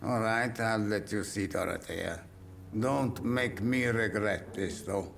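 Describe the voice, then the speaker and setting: A man's voice: on the low side, takes it slowly, creaky, fairly bright tone, faint music behind, exaggerated voice. An actor playing a wary old man with a Romanian accent in a video game, curt and grudging.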